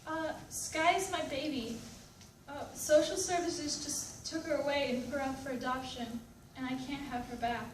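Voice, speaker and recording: A woman's voice: sits high, steady tone, moderate pace, lightly acted. A woman playing a troubled, reflective woman with multiple personalities in a readers theatre monologue. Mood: hesitant, sad. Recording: echoey room, distant mic, background noise.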